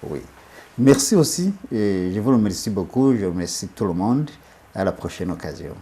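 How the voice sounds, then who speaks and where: A man's voice: on the low side, big pitch swings, normal speed, a loud crowd. A man, a studio guest, giving emphatic political commentary, who often repeats and restarts his phrases.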